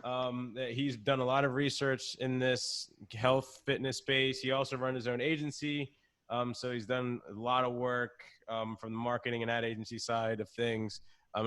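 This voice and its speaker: A man in a mid-range voice hosts a video call and introduces the guest to the group. He pauses often with "um" as he goes. His voice sounds close, as through a computer mic. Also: mid-range voice, a bit bright. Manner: hesitant, with many "um"s. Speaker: host.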